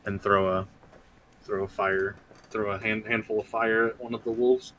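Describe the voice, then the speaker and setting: A man's voice: fairly low pitch, flat delivery, normal speed, fairly bright tone, low-quality recording. A man talking casually as a player in a tabletop role-playing game. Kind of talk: casual chat. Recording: clear background noise.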